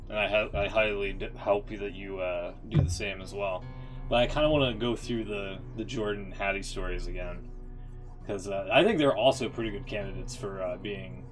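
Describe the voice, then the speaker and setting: A man giving casual gaming commentary as a streamer, in a rambling, hesitant way that often interrupts itself. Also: lowish voice. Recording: music playing behind the voice.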